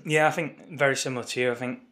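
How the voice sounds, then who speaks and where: A man with a croaky voice co-hosting a casual football podcast over a remote call, chatty and wry. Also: medium pitch, quick pace, fairly bright tone. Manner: chatty, wry, leads the talk. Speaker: podcast co-host. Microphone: remote call recording.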